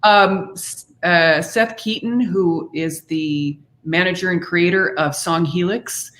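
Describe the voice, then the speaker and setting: A woman's voice: fairly low pitch, takes it slowly, fairly bright tone. A woman speaking as an interview guest, explaining singing diction conversationally and demonstrating by example. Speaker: interview guest, singer and author.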